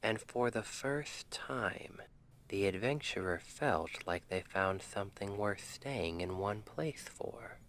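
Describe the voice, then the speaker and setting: A man playing a tender, playful boyfriend in an ASMR roleplay, speaking in a gentle, intimate half-whisper to soothe his partner to sleep. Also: fairly low pitch, lively intonation, slow, slight background noise. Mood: soft, playful storytelling. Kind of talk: ASMR roleplay, soft bedtime storytelling.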